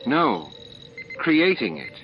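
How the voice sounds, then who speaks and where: A man's voice: medium pitch, huge pitch swings, very slow, music in the background, full character voice. A man voicing a composed, precise female science officer in an audiobook. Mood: puzzled, precise. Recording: muffled, band-limited tape audio.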